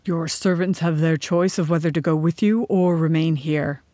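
A woman voicing a calm, victorious rebel king in a storybook, speaking in a measured, stern and matter-of-fact way. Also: low voice, no echo, clean studio sound. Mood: calm, firm.

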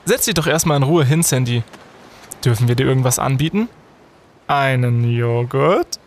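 A man's voice: mid-range voice, expressive, moderate pace, slight background noise, close to an everyday voice. A young man playing a brainy, self-important student detective in an audio drama, speaking emphatically. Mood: proud, welcoming. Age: young adult. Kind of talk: German audio drama in youth detective style.

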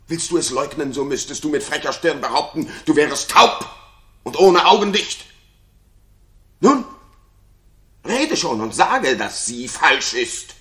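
A man's voice: medium pitch, bright, forceful tone. An actor playing a jealous, paranoid king in a 1960s German radio play, brooding and theatrical, moving between muttering and outbursts.

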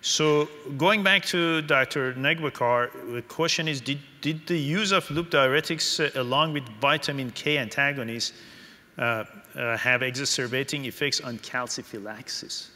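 A middle-aged man moderating a conference panel from a podium, reading out questions in halting, hesitant phrases. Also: medium pitch, bright, forceful tone. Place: large conference hall stage. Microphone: podium microphone. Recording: hall reverb from stage PA.

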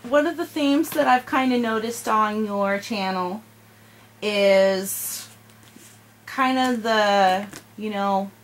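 A woman's voice: mid-range voice, slow, slight background noise. A young woman student interviewing a channel host, summarizing his answers back and asking follow-up questions in an encouraging way, in a home library. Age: young adult. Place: home library with bookshelves and fireplace.

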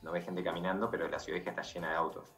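A middle-aged Uruguayan man with a very low, deep voice speaks earnestly over a video call. His words come through an echoey, roomy connection. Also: level tone, very fast, music in the background. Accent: Uruguayan Spanish. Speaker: bar owner. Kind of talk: remote interview guest.

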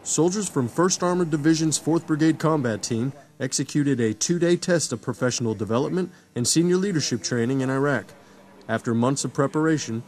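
A young adult man reading a scripted military news report in a crisp announcer delivery. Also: fairly high pitch, darkish tone, slight background noise.

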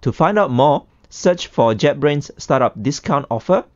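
A man hosting a podcast, presenting and interviewing in a clear, organised way. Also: fairly high pitch, lively intonation, measured pace, no room sound, muffled recording. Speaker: podcast host. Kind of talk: scripted podcast introduction and interviewing.

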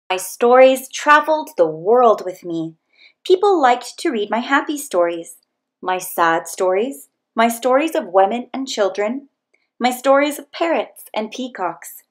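Higher-voiced woman reading a children's storybook. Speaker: storybook reader. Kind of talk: scripted children's read-aloud narration.

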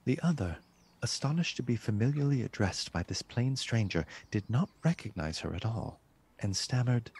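An actor as the storyteller of a gothic radio play, narrating theatrically and deliberately in a gravelly, husky voice. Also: male voice, medium pitch, expressive, darkish tone. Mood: solemn. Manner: deliberate, theatrical, savoring repeated words. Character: male storyteller of a gothic tale. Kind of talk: dramatic scripted radio narration.

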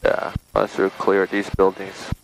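A man instructing in a small plane cockpit, calm and reassuring with short instructions. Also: low-pitched, normal speed, gravelly, slight background noise, low-quality recording. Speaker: flight instructor. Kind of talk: coaching in the cockpit.

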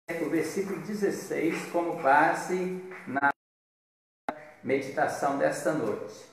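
A man in his fifties with a Brazilian accent, preaching a devotional in a measured, deliberate way with pauses. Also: on the high side, creaky. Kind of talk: devotional sermon with Bible reading. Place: small bare hard-walled room indoors. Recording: very echoey room, distant mic.

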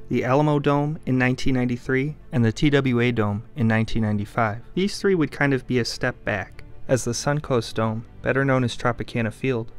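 Male documentary voice-over, mid pitch, even measured read, soft music underneath. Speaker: documentary narrator. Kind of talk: scripted narration. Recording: voice-over with faint music bed.

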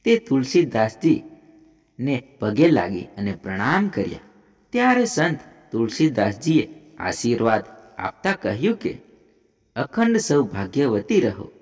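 A man's voice: medium pitch, very animated, unhurried. A man with a Gujarati accent reading a scripted devotional moral story as a storyteller. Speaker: storyteller, channel host.

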